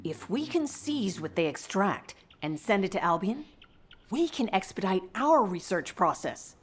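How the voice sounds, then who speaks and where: Caring, stern soldier-scientist, expressive pitch. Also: female voice, measured pace, slightly rough. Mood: explaining. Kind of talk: video game voice acting.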